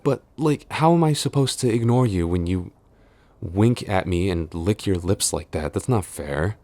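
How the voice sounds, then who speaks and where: A man's voice: low voice, lively intonation, measured pace, mellow, dry recording. A man playing a flustered tsundere boyfriend and office supervisor in an intimate audio roleplay, stammering, exasperated and defensive as he scolds.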